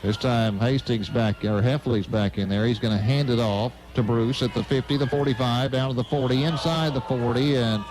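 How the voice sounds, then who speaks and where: A man's voice: medium pitch, steady tone, normal speed, few pauses, a little mellow. An American man doing live radio play-by-play commentary of a football game.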